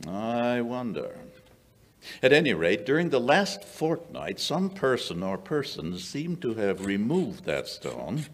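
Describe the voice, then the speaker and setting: An older man acting a shrewd, dry-witted gentleman detective in old-time radio style, with measured, theatrical storytelling. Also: mid-range voice, sing-song. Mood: grave, measured. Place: small indoor stage before an audience. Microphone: vintage-style stand microphone.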